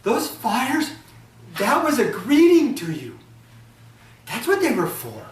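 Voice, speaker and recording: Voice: medium pitch, wildly swooping pitch, slow pace, voice pushed up. A storyteller voicing a sly young trickster man who mocks and pleads in a raised voice, heard in an echoey room from a distance. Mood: pleading. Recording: echoey room, from far off, faint ambience.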